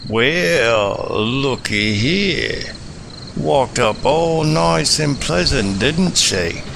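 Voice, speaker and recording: A man playing a smug, menacing pony bandit in an audiobook, with a gravelly voice and a folksy drawl. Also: mid-range voice, expressive, extremely slow, background noise, heavily acted. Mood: smug menace. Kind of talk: audiobook character acting.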